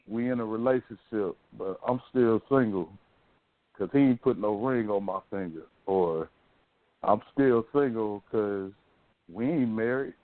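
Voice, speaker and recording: American guy hosting radio, kinda low voice, laid out casual and slangy, thin band-limited sound. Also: a little slow, no echo, phone-quality sound. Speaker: radio show host. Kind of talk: casual talk radio chat.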